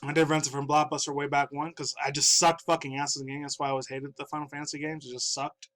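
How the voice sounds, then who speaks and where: A man in his thirties talks gaming news from his own room, sounding a little stuffy from the dust. His voice sits somewhat high for a man. He rambles loosely, curses freely and chuckles between thoughts. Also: level tone, fast talker, fairly bright tone. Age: 30s. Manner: rambling, profane, laughing often. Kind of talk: casual video commentary.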